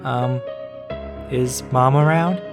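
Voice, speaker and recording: A man's voice: medium pitch, slow pace, over music, voice pushed up, natural voice. A man voicing a nervous, guilty young boy in a horror story, hesitant and stammering.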